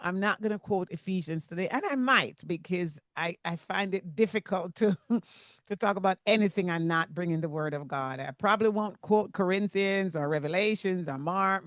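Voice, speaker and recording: Faith podcast host, gushing and repetitive, mid-pitched, dull dry call audio. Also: dry recording. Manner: effusive, repeats phrases for emphasis.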